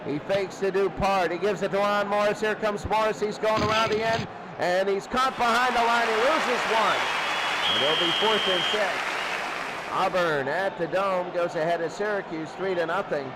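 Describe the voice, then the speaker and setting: A high-voiced man calls a football game for radio. He speaks in short bursts and restates each play, while a loud crowd roars behind him and heavy noise covers the recording. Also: normal speed. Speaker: play-by-play sports announcer. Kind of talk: live American football radio commentary. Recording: very noisy, loud stadium crowd bed. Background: crowd roar.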